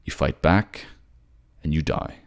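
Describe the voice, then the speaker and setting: A man playing a cold, proud, jealous king in a fantasy audio drama, speaking in a curt, brooding, halting way. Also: natural voice. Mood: grim.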